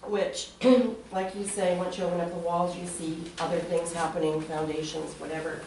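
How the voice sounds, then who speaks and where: A woman's voice: fairly low pitch, level tone, moderate pace. A woman stands up during public comment at a council meeting and speaks earnestly, with frequent hesitations. Her voice comes from well away from the microphone, echoing heavily around the chamber under a faint layer of noise. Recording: echoey chamber, distant mic.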